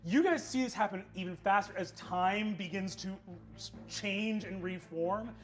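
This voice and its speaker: A man narrating a tabletop game as game master, in an unhurried, amused way with fillers. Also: high-pitched, faint music behind.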